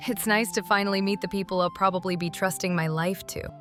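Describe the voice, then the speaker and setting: A woman playing a warm, friendly young adventurer with a gently teasing manner in a fantasy story. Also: medium pitch, steady tone, fast, soft background music, close to an everyday voice.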